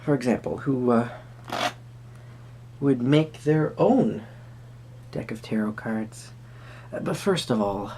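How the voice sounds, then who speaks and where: A performer plays an eccentric Renaissance fair tarot reader in an improvised roleplay. The pitch swoops up and down through hesitant, theatrical phrases addressed kindly to the listener. A faint noisy hum sits behind the voice. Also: mellow. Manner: hesitant, theatrical, halting phrasing with sudden emphasis. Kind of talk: improvised first-person roleplay addressed to the viewer.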